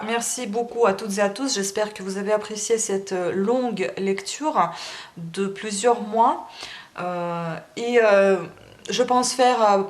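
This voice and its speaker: A woman reading a classic novel aloud in a language that is not her first, then chatting hesitantly with many fillers. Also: mid-range voice. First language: not French. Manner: emotional, admits crying while reading. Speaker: audiobook reader.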